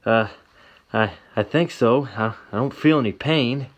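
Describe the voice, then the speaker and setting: A man's voice: mid-range voice, measured pace, natural voice. A man voicing a young cowboy newcomer with an eager, slightly lifted voice. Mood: shaken.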